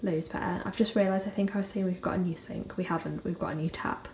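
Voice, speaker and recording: A young woman chatting casually to her phone camera in her bedroom, often keeping her voice hushed. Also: fairly low pitch, steady tone, fast, gravelly, slight background noise. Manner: soft chatty, often hushed to a near whisper. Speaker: vlogger. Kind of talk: casual vlog chat. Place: bedroom, indoors. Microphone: phone held at arm's length.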